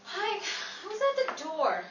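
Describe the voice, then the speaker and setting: A woman playing an excitable young woman in an amateur 1930s-style radio comedy, with a theatrical, exclamatory delivery. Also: very high-pitched, slow, muffled recording, full character voice. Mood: chatty. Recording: distant, roomy, very noisy home recording.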